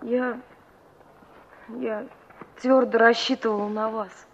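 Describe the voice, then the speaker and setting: A woman's voice: on the high side, flat delivery, takes it slowly, a little hiss or hum. An actress playing an earnest, stubborn and dreamy young country woman in a Soviet radio play. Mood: disappointed, pleading. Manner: earnest, wistful. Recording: dull, muffled 1960s radio recording.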